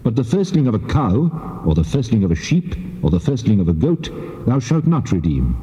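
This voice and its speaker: An actor playing the God of Israel in dramatised scripture, solemn, measured and commanding, with heavy reverb on his voice. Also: on the low side, big pitch swings, mellow. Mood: solemn, decreeing. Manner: solemn, measured, authoritative declaiming. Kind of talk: dramatised scripture reading in King James English. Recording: muffled tape, long cavernous reverb.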